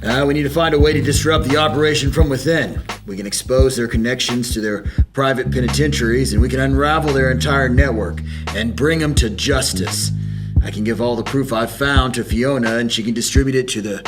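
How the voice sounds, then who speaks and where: A man's voice: mid-range voice, brisk, music in the background, close to an everyday voice. A young man playing a commanding, calculating mastermind in an old-time radio drama. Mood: urgent, resolute. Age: young adult. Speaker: student actor. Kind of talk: 1940s radio drama acting.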